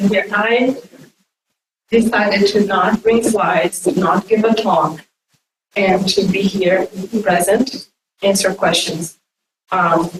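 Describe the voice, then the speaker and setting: A woman with a Brazilian accent giving an informal, unscripted talk to an audience in a halting, digressive way. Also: mid-range voice. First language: Portuguese. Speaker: guest speaker. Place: echoey indoor conference room. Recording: echoey distant room with faint noise.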